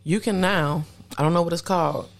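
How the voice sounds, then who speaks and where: A woman's voice: on the low side, a little fast, vocal fry. A woman co-hosting a podcast in casual talk, adding dry, sarcastic remarks. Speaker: podcast co-host.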